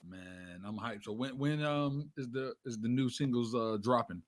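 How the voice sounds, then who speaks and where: A man's voice: mid-range voice, moderate pace. A man hosting a casual hype interview, talking in slang with frequent check-in tags. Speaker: radio show host.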